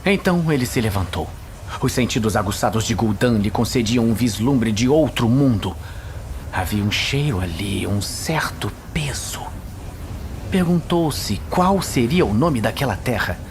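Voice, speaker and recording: A man's voice: medium pitch, expressive, unhurried, a little hiss or hum. A man with a Brazilian accent narrating a scripted fantasy audio series in a dramatic style.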